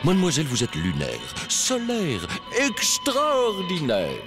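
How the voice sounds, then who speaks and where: A flattering alien salesman. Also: fairly high pitch, slow, loud music behind the voice, heavily acted. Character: smooth-talking alien clothes salesman.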